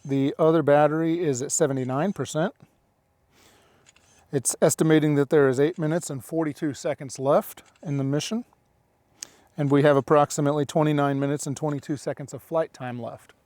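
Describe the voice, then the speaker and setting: A man's voice: a little high, steady tone, fairly quick, darkish tone, dry recording. A middle-aged man giving casual running commentary as he hosts a gear demonstration outdoors. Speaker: drone pilot and video host. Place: outdoors in open dry hills.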